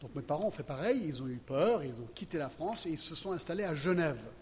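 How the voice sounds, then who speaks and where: Chatty older preacher in a reverberant hall. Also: male voice, fairly high pitch, expressive, quick pace, a little mellow, slight background noise. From American, raised in Geneva. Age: 60s. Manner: chatty, checks in with audience often. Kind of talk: sermon with personal testimony. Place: church hall. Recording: long reverb hall, faint ambience.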